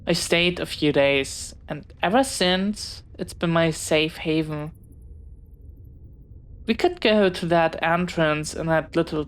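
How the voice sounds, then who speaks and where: An unhurried, slightly low voice for a young female pirate acted by a woman in an audio roleplay. She is chatty and bookish, yet shy and apologetic, with hesitant, polite, earnest phrasing. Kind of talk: intimate scripted audio roleplay.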